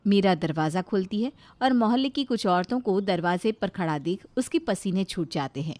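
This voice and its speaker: A woman's voice: mid-range voice, animated, normal speed, a little mellow, dry recording. A woman reading scripted narration for a family moral story.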